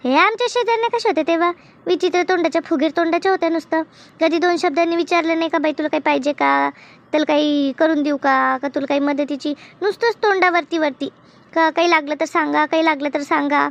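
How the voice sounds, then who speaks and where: A woman voicing a gossipy, teasing village woman in a comedy cartoon, with an expressive, complaining way of talking. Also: high voice, quick pace, gravelly. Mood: aggrieved. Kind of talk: colloquial comedy cartoon dialogue.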